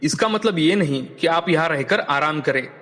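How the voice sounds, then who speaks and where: A man's voice: fairly high pitch, lively intonation, moderate pace, a bit bright. A man playing a stern, commanding king in children's story dialogue, speaking formally. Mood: stern, warning. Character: stern, authoritative king warning a young man. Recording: long hall reverb, music underneath.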